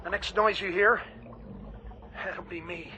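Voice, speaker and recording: A man's voice: high-pitched, steady tone, unhurried, bright, forceful tone, slight background noise, heavily acted. A man playing a dutiful, earnest Navy diver in a radio drama, respectful and eager. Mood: focused.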